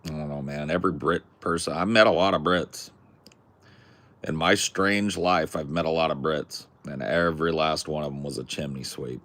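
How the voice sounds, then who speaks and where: A man's voice: extremely low pitch, steady tone, moderate pace, a bit bright. A young man from California with a Californian accent, chatting casually in a rambling, jokey, crude way. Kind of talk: casual chat.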